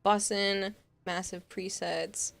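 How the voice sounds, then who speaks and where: A woman's voice: mid-range voice, steady tone, slow, vocal fry, fairly bright tone. A young woman with a Boston accent talks to her live-stream chat in a chatty, reassuring way. She replies to viewers and thanks them as she goes. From Massachusetts.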